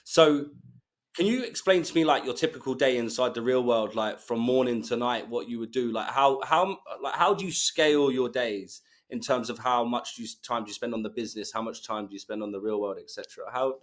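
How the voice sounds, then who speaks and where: A man's voice: mid-range voice, fairly quick. A man hosting an interview in a casual, chatty, matey way. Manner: informal, matey. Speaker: interviewer, host.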